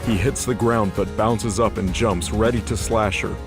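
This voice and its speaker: Guy narrating an audio drama, lowish voice, music playing clearly behind him. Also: fairly even intonation, normal speed.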